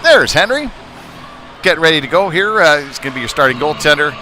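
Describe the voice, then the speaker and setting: A man's voice: on the high side, brisk. Male hockey play-by-play man over rink noise. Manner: conversational, hesitant, rising excitedly on shots. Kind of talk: live youth hockey game commentary. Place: rinkside at an indoor hockey arena. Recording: noisy rink ambience behind voice.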